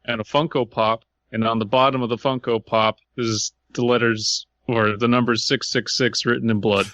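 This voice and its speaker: A man's voice: mid-range voice, little pitch movement, normal speed, fairly bright tone, no echo. A man hosting a podcast with casual comedic banter, profane and joking. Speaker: podcast host.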